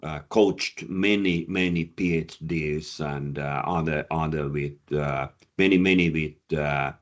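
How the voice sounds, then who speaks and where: A man's voice: a bass voice, unhurried, dull audio. A man coaching job seekers, answering audience questions with many fillers and repeated words, a Finnish speaker talking in English. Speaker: career coach and presenter.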